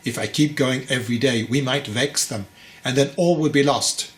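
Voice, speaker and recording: Man acting an anxious father, medium-pitched, deferential, placating, respectful, storybook character. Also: normal speed, natural voice. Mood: worried, placating. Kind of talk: audiobook character voice.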